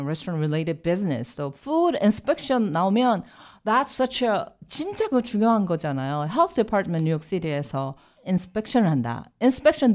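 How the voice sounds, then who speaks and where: Fast-talking low-voiced woman radio host. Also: darkish tone. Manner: restates each answer in a second language, prompting. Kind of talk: bilingual radio interview. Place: radio studio.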